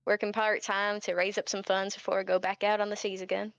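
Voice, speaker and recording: A woman's voice: mid-range voice, flat delivery, brisk, gravelly, smooth, no room sound, dull audio. A woman playing a tabletop game, chatting informally in character with a giggly manner. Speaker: player. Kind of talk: casual improvised in-character chat.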